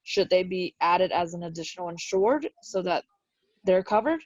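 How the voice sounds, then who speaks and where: A woman co-hosting a webinar over a video call, relaying audience questions in a polite, measured way. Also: on the low side, animated, no room sound. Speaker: webinar co-host. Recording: dry, dull video-call audio.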